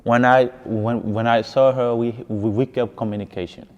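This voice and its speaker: A man's voice: medium pitch, steady tone, normal speed. A man from Ghana with a Ghanaian accent telling his story in a casual interview, often repeating words for emphasis. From West Africa, grew up in Ghana. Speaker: musician being interviewed. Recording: echoey hall, dull muffled sound.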